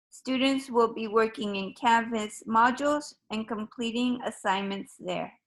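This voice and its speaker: A woman teacher from Ecuador presents her classes at a slow, deliberate pace. Her voice sits a little high, and she talks formally, pausing with an occasional um. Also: fairly bright tone, rough recording. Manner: slow, measured and formal, with frequent ums. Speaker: high school Spanish teacher. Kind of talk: recorded open house presentation.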